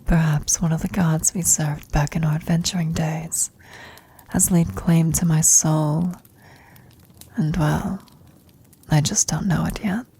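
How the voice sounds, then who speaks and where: A woman acting a frail, dying old adventurer in an intimate ASMR roleplay, speaking tenderly and haltingly in a breathy, husky whisper. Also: low-pitched, steady tone, dark tone, full character voice. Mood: resigned, solemn. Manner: halting, tender, reflective, long pauses.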